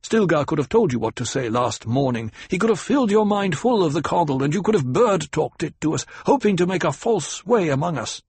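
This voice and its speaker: A man voicing a hot-tempered desert warrior who snaps and shouts in a raised voice. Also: medium pitch, very animated, normal speed, husky, no echo, pitched above the performer's own voice, exaggerated voice. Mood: angry. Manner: loud, snapping and raised.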